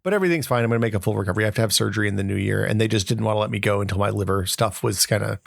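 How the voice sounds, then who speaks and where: American guy podcasting, lots of vocal fry, super dry sound, casual and kinda self-mocking. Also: fairly low pitch, rapid-fire, no room sound. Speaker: podcast host. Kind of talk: casual chat.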